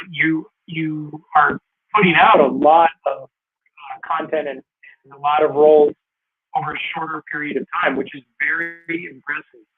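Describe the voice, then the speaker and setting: A man's voice: a little high, breathy edge. Man on a tinny phone-like line. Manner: rambling, stumbling restarts. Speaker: talk show host. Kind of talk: casual interview.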